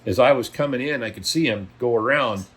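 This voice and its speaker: A man's voice: mid-range voice, a little fast, fairly bright tone, breathy edge. Blunt, folksy older male trucker. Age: middle-aged or older. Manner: blunt, folksy, opinionated, emphatic. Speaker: trucker and video blogger. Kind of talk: casual vlog monologue.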